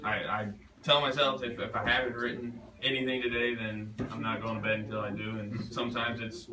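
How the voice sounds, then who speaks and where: A man speaking as an author in a casual question-and-answer session, in a halting, self-deprecating way with casual swearing. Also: mid-range voice, flat delivery, far from the mic, crowd noise. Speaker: author at a reading. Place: bookstore event space. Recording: roomy, echoey, picked up from afar.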